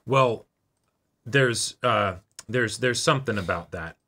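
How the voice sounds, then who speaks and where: A man with a mid-pitched, bright and crisp-edged voice, chatting casually as a reaction-show co-host. His phrasing circles around, restarting and slipping in asides as he works toward his point. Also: slow. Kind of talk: casual chat about an anime episode.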